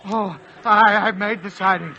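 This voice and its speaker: A man playing a timid, excitable, polite fellow who is flustered and stammers, in 1940s radio acting style. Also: high voice, unhurried. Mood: flustered, apologetic. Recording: dull, noisy vintage radio recording.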